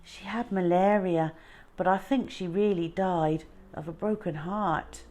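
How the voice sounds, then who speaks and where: A woman's voice: fairly low pitch, unhurried, darkish tone, lightly acted. A woman voicing an earnest, wistful runaway schoolboy in a storybook reading. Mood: sad, bitter. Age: child.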